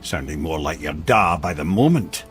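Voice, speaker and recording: An actor playing a sardonic old talking severed head in a video game, needling companions in a wry, unhurried way. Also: male voice, extremely low pitch, lively intonation, a bit bright, soft background music. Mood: wry, skeptical. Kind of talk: dramatic video game acting.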